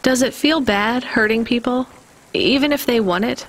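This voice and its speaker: A woman's voice: on the high side, normal speed. A woman playing a weary, guarded but caring young female delivery driver in a video game, with a dry, informal manner. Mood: guarded, curious.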